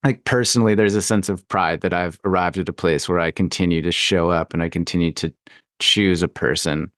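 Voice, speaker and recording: A man hosting a casual, reflective conversation, speaking thoughtfully with pauses in the middle of his phrases. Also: low voice, fairly even intonation, fairly bright tone, no echo, clean studio sound. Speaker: podcast host.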